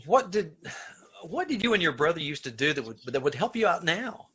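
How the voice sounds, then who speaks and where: Radio drama warden played by a man: formal, measured, obliging but skeptical, sharp bright tone, husky voice. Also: on the high side, sing-song, full character voice. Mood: frank, doubtful. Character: frank, doubtful but obliging male prison warden. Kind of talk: amateur old-time radio play acting.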